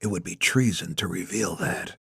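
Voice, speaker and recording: A menacing male villain played by a male narrator, speaking low and hushed. His harsh, measured delivery turns every clipped phrase into a threat in this audiobook scene. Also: breathy edge, no echo, clean studio sound, exaggerated voice. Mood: guarded, threatening. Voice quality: hushed, harsh, partly whispered. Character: menacing older male villain, smooth official hiding threats. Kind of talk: acted audiobook dialogue.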